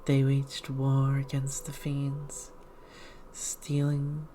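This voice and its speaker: A woman speaking in a hushed, measured ASMR style, slipping into whispers as she reads a game aloud. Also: extremely low pitch, completely flat delivery, slight background noise. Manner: hushed, slow, measured reading with whispered passages. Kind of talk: ASMR, whispered and soft-spoken game read-through.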